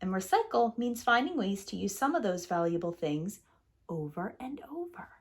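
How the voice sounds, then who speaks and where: Woman, preschool teacher, expressive read-aloud, lively swooping intonation, clear phrasing. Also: medium pitch, moderate pace. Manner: animated, clearly phrased storytelling. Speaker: preschool teacher reading a picture book aloud. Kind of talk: expressive storybook read-aloud for young children.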